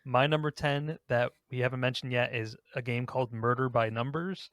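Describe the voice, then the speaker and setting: A man's voice: medium pitch, fairly even intonation, moderate pace, a bit bright, dry recording, clean studio sound. A man talking casually about games as a podcast co-host.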